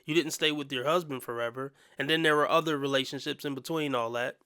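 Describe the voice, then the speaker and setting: A man's voice: mid-range voice, fairly even intonation, fast, pushed and bright, clear, resonant tone, dry recording, clean studio sound. A man hosting a reaction commentary channel, blunt and opinionated with casual slang.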